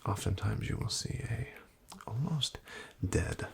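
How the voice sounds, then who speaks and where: Slow whispering man, gravelly. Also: lowish voice. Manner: slow, hushed and wryly deadpan, with soft fillers. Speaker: ASMR roleplay host playing a doctor. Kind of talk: whispered ASMR roleplay.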